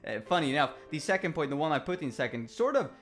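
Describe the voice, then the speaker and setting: A man with a Swedish accent vlogging in a casual, rambling way, often correcting himself and filling pauses with ums and uhs. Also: a little high, sing-song, fairly bright tone, faint music behind. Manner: rambling, self-correcting, lots of fillers and restarts. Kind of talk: casual talking-head reflection.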